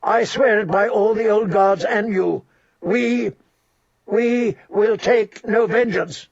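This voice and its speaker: A man's voice: high voice, takes it slowly, gravelly, pitched above the performer's own voice, full character voice. A man reading an audiobook and voicing a desperate noble mother who pleads intensely for her son's life. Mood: pleading. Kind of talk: audiobook character voice. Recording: dull dry recording.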